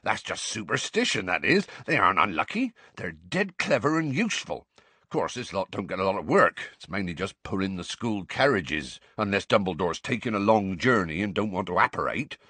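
Storybook gentle giant, played by a man: rustic teacher, folksy, bumbling, swinging up and down in pitch. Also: very animated, normal speed, breathy edge, no room sound, full character voice. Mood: patient. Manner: hesitant, informal, folksy. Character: kindly, gigantic rustic male teacher, cheerful and bumbling.

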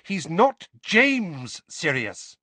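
A man's voice: high-pitched, huge pitch swings, moderate pace, breathy edge, dry recording, voice pushed up, full character voice. A man reading an audiobook and voicing a stern, protective middle-aged mother who speaks sharply and scolds. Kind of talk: audiobook character voice.

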